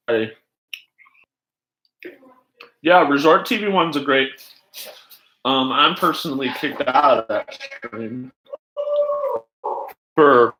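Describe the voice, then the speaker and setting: A man hosting a livestream in casual chat, rambling haltingly and jumping between asides as he talks to viewers. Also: mid-range voice, a bit bright, slight background noise, low-quality recording. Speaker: livestream host.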